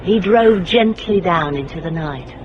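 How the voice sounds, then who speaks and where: A woman's voice: on the low side, lively intonation, measured pace, highs cut off, background noise. An actress playing a shrewd female private investigator in a radio drama, speaking and narrating in a wry, controlled, pointed way. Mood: wry, reflective. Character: shrewd, steely female private investigator, quietly morally indignant. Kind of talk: radio drama acting and first-person narration.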